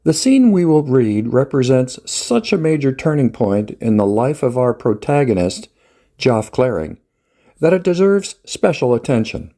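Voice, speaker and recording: A man hosting and reading scripted narration to introduce a dramatic scene, in a measured storyteller's manner. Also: medium pitch, big pitch swings, mellow. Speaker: host, writer-director.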